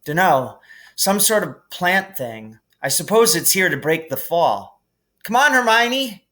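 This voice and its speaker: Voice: mid-range voice, very animated, unhurried, fairly bright tone, pitched above the performer's own voice. A man voicing a brave, practical young boy wizard in an urgent, earnest manner for a storybook.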